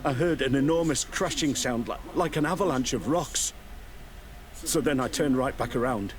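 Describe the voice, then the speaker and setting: A man's voice: medium pitch, normal speed, a little hiss or hum, natural voice. An actor playing an anxious, evasive guard in a video game, stammering and deferential as he reports to his superiors. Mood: nervous, explanatory. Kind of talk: video game dialogue acting.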